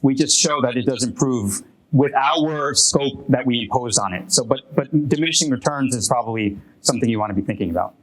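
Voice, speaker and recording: A man fielding audience questions after a talk, thinking aloud with many pauses and restarts. His pitch sits in an ordinary middle range. He speaks in a conference room. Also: low-quality recording. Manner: hesitant, many restarts and fillers. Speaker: presenter answering audience questions. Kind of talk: academic Q&A at a workshop.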